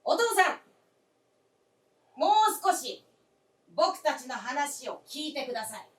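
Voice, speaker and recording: Echoey far-off stage sound, woman playing earnest grown son, polite but persistent, really animated radio play voice. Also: sits high, takes it slowly, full character voice. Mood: pleading, insistent. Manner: polite, insistent, formal phrasing. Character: earnest, persistent adult son pleading with elderly father. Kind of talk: live radio drama acting. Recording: roomy stage recording from afar.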